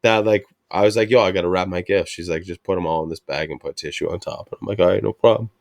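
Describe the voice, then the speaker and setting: A man's voice: low-pitched, fast, gravelly, dry recording, studio quality. A man co-hosting a casual talk show, telling family stories with lots of slang and swearing, and acting out what others said. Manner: slangy, animated, acts out quotes of family. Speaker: podcast co-host. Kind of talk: casual chat with cursing and storytelling.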